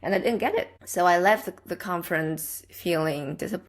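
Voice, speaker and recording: A woman's voice: lowish voice, normal speed. A young adult woman from the Czech Republic, a guest telling a personal story in an earnest, reflective, conversational way.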